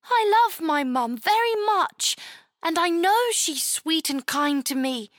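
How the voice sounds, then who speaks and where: Storybook little girl, played by a woman: sweet, bewildered, hesitant, very high and swooping. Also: lively intonation, takes it slowly, a bit bright, no room sound, clean studio sound, full character voice. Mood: shy, remorseful. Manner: wide-eyed, hesitant, innocent. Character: young girl, innocent, sweet, bewildered child. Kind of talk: dramatised children's fairy tale reading.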